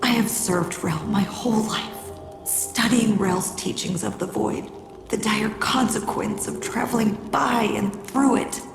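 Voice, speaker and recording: A woman playing a devout, mystical cult guardian in a game, solemn and incantatory with a breathy, creaky voice. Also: medium pitch, unhurried. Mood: reverent. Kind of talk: dramatic game voice acting. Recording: echoey reverb with prominent music behind.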